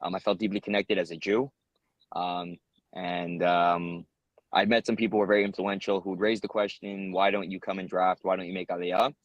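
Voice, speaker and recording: Young American guy, low and quick, earnest interviewee full of fillers. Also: level tone, dry recording, dull audio. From United States. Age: young adult. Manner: earnest, rambling, many ums and uhs. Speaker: interviewee, soldier. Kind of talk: casual interview answers.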